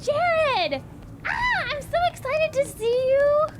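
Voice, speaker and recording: Woman playing a very high-pitched, gushing teenage girl. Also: animated, full character voice. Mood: excited, gushing. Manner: gushing, drawn-out words. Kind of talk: audio drama acting.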